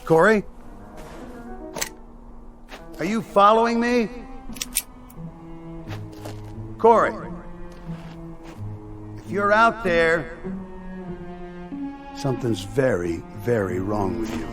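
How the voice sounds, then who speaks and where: A man's voice: on the high side, takes it slowly, pauses often, a bit bright, soft background music. An actor in a radio drama playing a stern military spaceman on recon, with a commanding, scolding manner. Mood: calling, wary.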